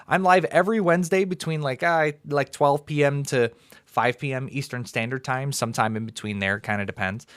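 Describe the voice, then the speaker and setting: A man's voice: medium pitch, fairly even intonation, brisk, a bit bright, clear, resonant tone, no room sound, studio quality. Young American man hosting a call-in stream. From United States. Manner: conversational, filler-heavy, upbeat sign-off. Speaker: livestream host. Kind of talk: casual call-in debate stream.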